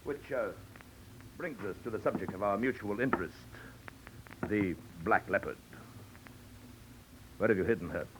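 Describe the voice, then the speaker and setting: A noisy old radio recording carries a man acting a suave, menacing aristocratic hunter. His voice sits low, and he speaks in florid, mock-courteous periods, savoring his own pomposity like a classic 1940s radio villain. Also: normal speed, long pauses, natural voice. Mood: pompous.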